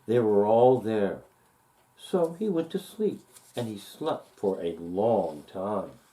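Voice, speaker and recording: Male storybook reader, relaxed mellow tone, animated intonation, deliberate sing-song emphasis. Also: fairly low pitch, low-quality recording. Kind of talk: expressive children's story read-aloud.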